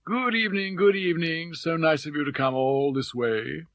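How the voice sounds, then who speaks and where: A man voicing an eccentric elderly father in an audiobook, mild, plaintive and gently mocking, with British Received Pronunciation. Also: on the high side, fairly even intonation, normal speed, dry recording, studio quality, pitched above the performer's own voice. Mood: mock courteous.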